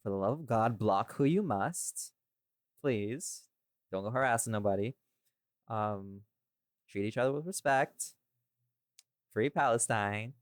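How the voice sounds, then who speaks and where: A man's voice: lowish voice, unhurried, pauses often, no room sound, clean studio sound. A man hosting a casual behind-the-scenes podcast chat in a rambling, informal way. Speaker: podcast host and audio creator.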